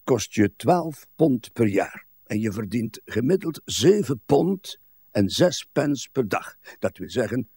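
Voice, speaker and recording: Radio drama role: crotchety, ailing old husband, very hoarse and breathy, low-pitched, dry close sound. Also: male voice, darkish tone, no echo, full character voice. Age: older. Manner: morbid, querulous, long-winded grumbling with musing asides. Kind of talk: literary radio drama acting.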